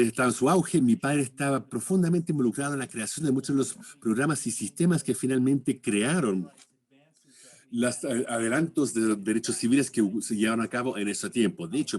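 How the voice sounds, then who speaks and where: Husky-voiced man, halting lecture delivery. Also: mid-range voice, darkish tone. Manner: halting, searching for words and rewording.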